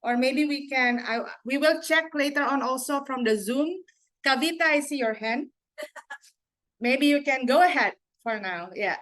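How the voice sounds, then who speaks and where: A woman moderating a panel discussion and taking questions, relaxed and hesitant with many fillers. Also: high-pitched, unhurried.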